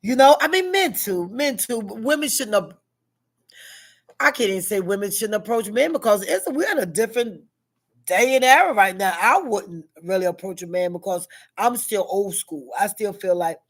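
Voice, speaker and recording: A woman in her sixties with a deep, heavy voice, chatting casually in a vlog, rambling with many fillers and questions to herself. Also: lively intonation, moderate pace. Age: 60s. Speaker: vlogger. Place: at school.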